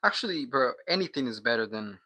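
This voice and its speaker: A man's voice: medium pitch, expressive, fairly quick, sharp tone, dry recording. A man from Guatemala, a native Spanish speaker, chatting casually on a livestream in a slangy, sweary, bro-heavy way.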